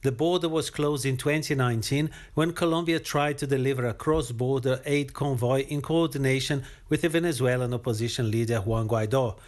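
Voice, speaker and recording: A man's voice: medium pitch, fairly quick, hardly any pauses. A man delivering a scripted, explanatory news report as a correspondent.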